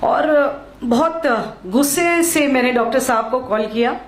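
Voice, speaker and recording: A woman's voice: sits high, a little slow, echoey room. A woman from Maharashtra giving a public speech, mixing Hindi with English phrases and repeating lines for emphasis. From Maharashtra, India. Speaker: lawyer giving a speech at a function.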